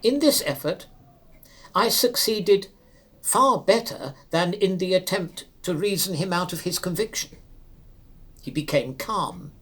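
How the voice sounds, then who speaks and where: A man's voice: sits high, lively intonation, a little slow, fairly bright tone. Man reading a literary story, expressively. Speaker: narrator reading a story aloud. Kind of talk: dramatic literary audiobook narration.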